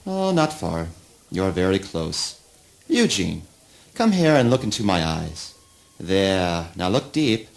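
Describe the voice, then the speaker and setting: An enigmatic male oracle, acted by a man, questions in a deliberate, fatherly way. The voice is on the low side and very animated in pitch. It is theatrical, cryptic spoken word drama. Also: creaky, heavily acted. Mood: cryptic, assured.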